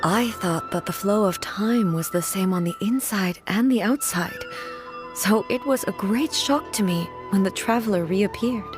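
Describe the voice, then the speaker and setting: A woman's voice: mid-range voice, a little slow, music in the background, natural voice. A woman playing a reserved, earnest immortal ruler in a video game, speaking formally and with restraint. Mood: grateful. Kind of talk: English video game voice acting.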